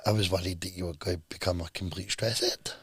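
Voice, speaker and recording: A man in his thirties with a hoarse, husky voice he has lost, co-hosting a casual couple's podcast, jokey and quick to laugh. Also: sits low, steady tone, no room sound. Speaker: podcast co-host.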